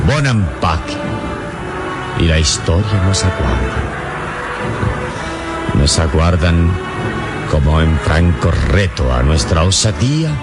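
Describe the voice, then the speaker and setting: Very deep-voiced, solemn adventurer hero. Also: male voice, big pitch swings, over loud music, heavily acted. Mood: rapt, daring. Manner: grandiloquent, measured, courteous. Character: wise, bold adventurer hero, gallant and solemn. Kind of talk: old radio serial acting. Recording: noisy old radio serial, vehicle drone.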